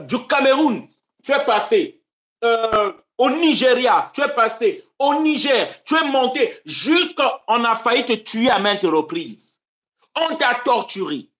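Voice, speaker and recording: A man from Congo-Brazzaville hosts a live stream and talks politics to his viewers. His delivery is impassioned and rhetorical, with a very lively pitch that swings from loud declaiming to low muttered asides. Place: home in Europe.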